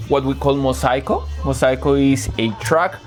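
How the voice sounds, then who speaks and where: A man talks casually and earnestly about records as a guest selector. His voice sits at a middling pitch, and he often pauses to find the right word. Soft music plays quietly beneath him. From Colombia. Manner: earnest, pauses to search for words. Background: faint music playing.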